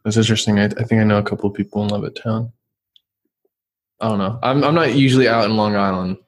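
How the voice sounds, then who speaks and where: A New York man hosts the chat with rambling, friendly asides and offhand swearing. His voice sits fairly low for a man, and a New York accent colors his easygoing talk. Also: fairly even intonation, quick pace. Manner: rambling, chummy, swears casually. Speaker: interviewer. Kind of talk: casual interview.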